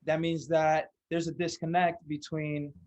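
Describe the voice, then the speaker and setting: A man's voice: on the high side, level tone, unhurried, darkish tone. A man hosting an interview podcast in an earnest, slangy way. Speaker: podcast host.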